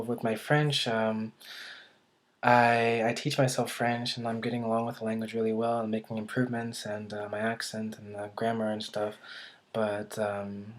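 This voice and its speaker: A young man from the United States talking casually to camera about learning languages, hesitant and thinking aloud with many restarts. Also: lowish voice. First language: English. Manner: thinking aloud, self-correcting. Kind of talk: casual unscripted talk to camera.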